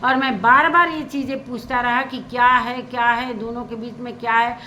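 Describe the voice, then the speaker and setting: A woman's voice: fairly high pitch, steady tone, moderate pace, pushed and bright, highs cut off, a little hiss or hum. A woman vlogger giving casual, opinionated commentary, repeating her points for emphasis. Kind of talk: casual spoken commentary on social media gossip.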